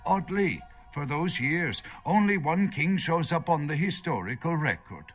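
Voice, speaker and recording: A man's voice: on the high side, lively intonation, measured pace, faint music behind. An actor playing a nervous, erudite archaeologist in a video game, lecturing hesitantly as he searches for words. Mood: earnest, lecturing. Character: nervous, erudite male foreign archaeologist held captive.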